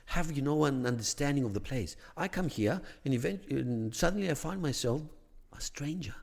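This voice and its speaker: A man, a theology scholar on a podcast, talking through ideas in a measured, searching way with plenty of restarts. His voice has a gravelly edge. The room adds a long reverb tail. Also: a little high, lively intonation, a little mellow. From Greece. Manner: thoughtful, many restarts and "you know" fillers, rising emphasis on key points. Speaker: podcast guest, theology academic. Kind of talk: conversational explanation.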